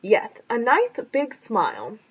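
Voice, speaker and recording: A woman's voice: a little high, wildly swooping pitch, slow pace, slightly rough, full character voice. A woman voicing a dramatic, grumpy old grandfather who talks funny because his teeth are missing. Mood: insistent. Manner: mumbling toothless speech. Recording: lo-fi recording.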